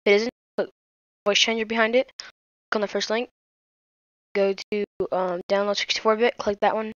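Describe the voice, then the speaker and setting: Female tutorial host, smooth clear voice, casual delivery, slightly dull mic. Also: medium pitch, steady tone, moderate pace, a bit bright, no room sound. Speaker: host of a tech tutorial channel. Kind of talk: casual screen-recorded tutorial.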